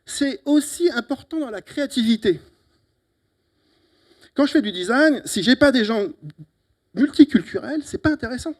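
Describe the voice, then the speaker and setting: A middle-aged man from Italy with an Italian accent, giving a lively, teasing closing talk at a conference on a handheld mic, with sudden loud emphatic bursts. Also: very high-pitched, normal speed, long pauses. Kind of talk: lively, joking conference speech. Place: conference hall. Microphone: handheld microphone.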